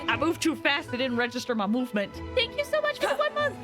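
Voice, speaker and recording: Expressive, high-voiced woman game streamer. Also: quick pace, over loud music. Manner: chatty, expressive, rambling asides. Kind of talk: casual live gameplay commentary, chatting with viewers.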